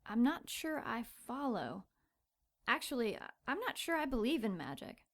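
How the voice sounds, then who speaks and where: A woman's voice: a little high, very animated, normal speed, a bit bright, heavily acted. A woman acting a plucky but doubtful young churchgoer in an audio drama, questioning and game. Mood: puzzled, doubtful.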